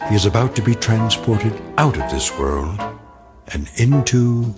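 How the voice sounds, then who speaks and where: A man delivering an ominous, deliberate scripted introduction to a radio drama. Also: lowish voice, sing-song, over music. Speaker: narrator.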